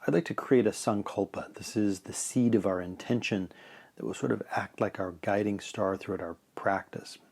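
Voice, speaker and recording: A man leading a guided meditation in a calm, measured way, letting phrase ends trail off softly. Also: on the low side, creaky.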